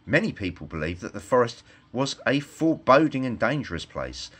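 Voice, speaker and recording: A man reading a scripted satirical fairytale as storyteller, in an expressive storybook manner. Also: on the low side.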